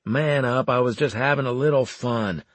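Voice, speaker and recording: A man's voice: medium pitch, normal speed, no room sound, pitched above the performer's own voice, lightly acted. A man voicing a big, brash soldier in an audiobook, laid-back, teasing and colloquial. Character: big, brash, joking male soldier, unbothered and folksy.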